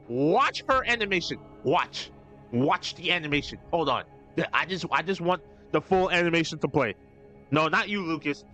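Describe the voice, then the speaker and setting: A young man streaming a game, commenting live in an excitable, profane rant full of repeats and restarts. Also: on the high side. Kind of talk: ranting live gameplay commentary.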